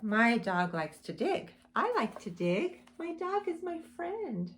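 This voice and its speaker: A young woman teaching preschool, reading a picture book aloud to children in a lively storytime voice. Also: slow, a little hiss or hum. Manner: animated storytime voice with big pitch swings.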